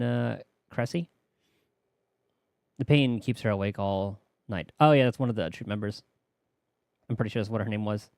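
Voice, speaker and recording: A man's voice: fairly low pitch, a little mellow, dry recording. A man streaming a game, chatting casually and reading the game's text aloud.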